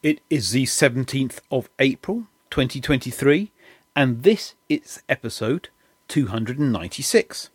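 A man hosting a history podcast, reading a formal introduction and asking measured interview questions. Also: medium pitch, animated, husky, no echo. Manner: formal, measured presenter delivery. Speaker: podcast host.

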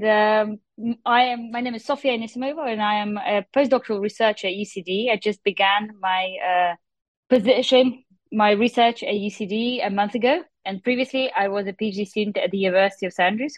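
A woman's voice: medium pitch, dry recording, rough recording. A young adult woman from Russia with a Russian accent, explaining her research as an interview guest. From Moscow, Russia.

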